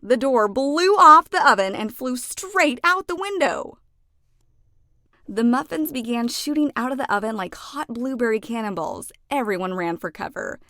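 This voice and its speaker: A woman narrating a children's storybook expressively, with deliberate phrasing and dramatic emphasis. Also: a little high, expressive, sharp tone, no room sound, clean studio sound. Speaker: children's book read-aloud narrator.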